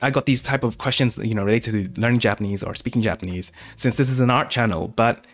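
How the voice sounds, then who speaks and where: A man's voice: on the low side, fast, soft background music. A man hosting a live question-and-answer stream, talking casually with many fillers and restarts. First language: English. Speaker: art channel host.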